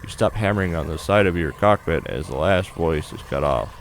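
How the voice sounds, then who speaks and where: A man's voice: low voice, animated, a little fast, creaky, a little hiss or hum. A man reading a visual novel aloud as dramatic narration for a gaming channel.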